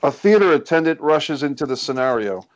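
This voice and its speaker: A man's voice: fairly high pitch, lively intonation, a little fast, muffled recording. A man reading scripted stage directions as narrator of a screenplay table read. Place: indoors on a group video call.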